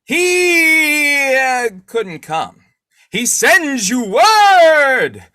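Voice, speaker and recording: An actor voicing a solemn ghostly messenger at a seance in a live old-time radio drama. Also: male voice, very high voice, big pitch swings, extremely slow, sharp tone, no echo, studio quality, full character voice.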